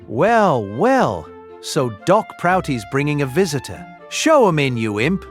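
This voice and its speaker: An actor playing an older police inspector in an audiobook drama, gruff and hearty, with a teasing fatherly manner. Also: fairly high pitch, sing-song, measured pace, faint music behind. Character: genial, irritable old police inspector, father of grown son.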